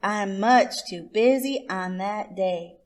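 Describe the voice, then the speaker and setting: A woman voicing a lazy, sly male trickster rabbit from a storybook, full of innocent-sounding, drawn-out excuses. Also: medium pitch, close to an everyday voice. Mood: falsely apologetic.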